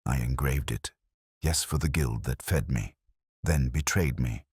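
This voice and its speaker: Man reading as cold, deep-voiced vengeful false priest. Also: a little mellow, breathy edge, no room sound, studio quality, full character voice. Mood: bitter. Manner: deliberate, preachy, ominous. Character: coldly lucid, vengeful male villain posing as priest.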